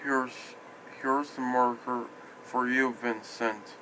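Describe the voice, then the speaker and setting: A man speaking in short, halting, simple phrases as an amateur puppeteer improvising a puppet show, recorded on a tablet at home. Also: mid-range voice, level tone, background noise. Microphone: iPad microphone.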